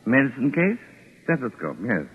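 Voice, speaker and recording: Animated, flustered gentleman writer. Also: mid-range voice, moderate pace, breathy edge, heavily acted. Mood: polite, flustered. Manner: polite, fussy, hesitant, excitable. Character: flustered, wry, well-mannered gentleman mystery writer. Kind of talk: 1940s radio acting. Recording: tinny, noisy old radio broadcast.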